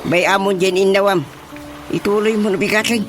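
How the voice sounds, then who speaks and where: A man with a Filipino accent playing an excitable, dismissive rural father in a radio comedy drama. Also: high-pitched, fairly quick. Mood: flustered bluster. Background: music.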